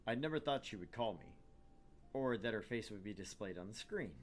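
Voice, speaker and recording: Low-voiced man hosting a gaming read-along. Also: animated, brisk, a little hiss or hum. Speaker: let's play host. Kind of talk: gaming chat and first-person story read-aloud.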